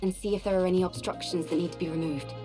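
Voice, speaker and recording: An actress playing a calm, capable female warrior in a game cutscene, speaking matter-of-factly. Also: fairly low pitch, rapid-fire, lightly acted. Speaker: diver volunteering to check a drain. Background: game music.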